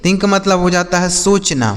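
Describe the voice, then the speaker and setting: A man's voice: sits high, normal speed, big-room sound. A man teaching English vocabulary, spelling each word letter by letter and repeating its meaning several times. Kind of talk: slow spelled-out vocabulary lesson.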